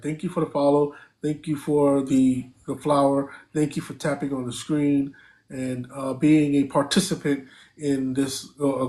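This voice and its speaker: A man's voice: on the high side, darkish tone, low-quality recording. Man hosting a casual live stream. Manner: thanks viewers, many fillers and restarts.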